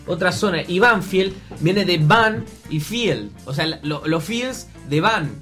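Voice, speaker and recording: A man's voice: sits high, lively intonation, slow pace, a bit bright, faint music behind, heavily acted. A man playing a vain singer from Lanús who puts on an Italian accent and rambles pompously about his neighborhood. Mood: pompous rambling. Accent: put-on Italian over Argentine Spanish. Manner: rambling, pompous, stumbling over words. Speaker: guest musician character.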